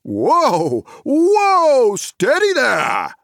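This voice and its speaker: A man's voice: high-pitched, wildly swooping pitch, takes it slowly, vocal fry, a bit bright, dry recording, clean studio sound, voice pushed up, heavily acted. A man voicing a boisterous, jolly old forest dweller who sings and bellows in a rustic sing-song dialect. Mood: booming jolly. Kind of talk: audiobook character voice.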